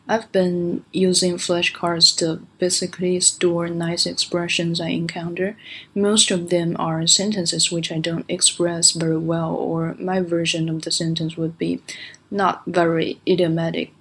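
A woman's voice: lowish voice, flat delivery, a bit bright, slight background noise. A woman from China, a native Chinese speaker, carefully asking a podcast host a question in a recorded voice message. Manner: careful, deliberate English. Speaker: listener sending a question.

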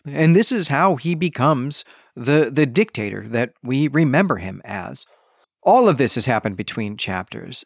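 A man hosting a podcast, giving an explanatory book commentary in a deliberate, emphatic way, often rephrasing himself for precision. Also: mid-range voice, vocal fry, dry recording, studio quality. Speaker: podcast host.

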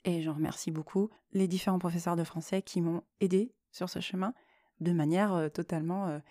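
A woman talking reflectively on her own as a podcast host, thoughtful and hesitant, often pausing mid-phrase. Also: lowish voice, fairly even intonation, mellow, no echo, studio quality. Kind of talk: reflective solo podcast talk.

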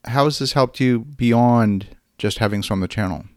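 A man's voice: medium pitch, normal speed. A man hosting a podcast interview, asking questions and reacting with enthusiasm. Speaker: podcast host.

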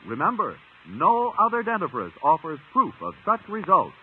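Animated American radio ad man, tinny sound. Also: high-pitched, normal speed, over loud music. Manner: emphatic, polished salesmanship. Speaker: radio announcer. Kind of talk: 1950 radio commercial announcing. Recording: thin, noisy old radio recording.

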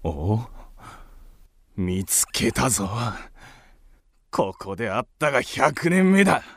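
A man's voice: medium pitch, big pitch swings, slow pace, fairly bright tone, no echo, studio quality, exaggerated voice. A man acting in a radio drama as a pompous, blustering, cowardly knight who bellows and stammers. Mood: blustering, indignant.

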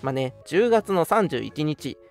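抑揚豊かな男性ラジオパーソナリティ. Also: male voice, fairly high pitch, animated, unhurried, darkish tone, faint music behind. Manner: polite announcer phrasing mixed with playful banter. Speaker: radio show host and scriptwriter. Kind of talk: casual hosting with announcements.

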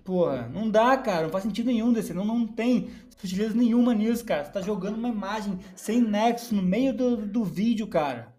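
A young Brazilian man hosting a casual chat about magic, blunt and quick to swear. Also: sits high, a little mellow, faint music behind. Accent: Brazilian Portuguese. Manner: blunt, rapid, swears freely. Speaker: podcast host.

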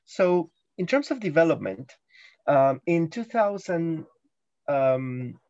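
A man's voice: fairly high pitch, big pitch swings, takes it slowly, darkish tone, no room sound, highs cut off. A middle-aged man giving a guest interview on a podcast, explaining carefully with frequent ums and restarts. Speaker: guest, UN guided tours chief.

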